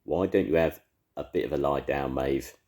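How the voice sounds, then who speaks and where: A man's voice: rumbling low, moderate pace, a little mellow. A man voicing a practical male caterpillar who speaks dryly and sarcastically. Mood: matter-of-fact. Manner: dry, matter-of-fact and sarcastic.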